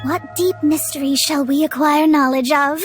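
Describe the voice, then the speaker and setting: Haughty young astrologist girl, game voice, high and formal, music in back. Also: fairly even intonation, measured pace. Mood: composed, eager. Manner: pompous, formal, theatrical. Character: proud young female astrologist, pompous, secretly short of money. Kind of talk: scripted video game acting.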